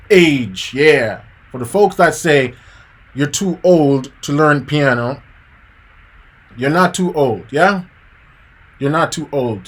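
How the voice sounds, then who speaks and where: A man from Jamaica in his thirties, a piano teacher live-streaming a motivational lesson, talking casually and repeating his points. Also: on the high side. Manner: casual and preachy, addresses viewers as guys and man, repeats key points.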